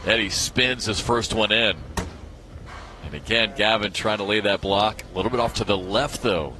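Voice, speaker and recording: A man giving live televised play-by-play commentary on a championship match, in an excitable manner that builds with the action. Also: fairly low pitch, moderate pace, background noise.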